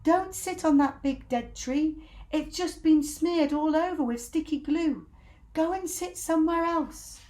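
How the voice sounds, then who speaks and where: A woman voicing excitable caged monkeys in a children's storybook, calling out with urgency. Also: high voice, unhurried, voice pushed up. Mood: frantic warning. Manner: urgent, exclamatory shouting. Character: frantic caged monkey family shouting warnings.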